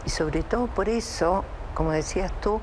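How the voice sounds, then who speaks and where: An older woman from Chile, interviewed as an evacuee from Israel, speaking in a measured, reflective way with hesitant restarts. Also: medium pitch, background noise. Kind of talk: emotional interview testimony.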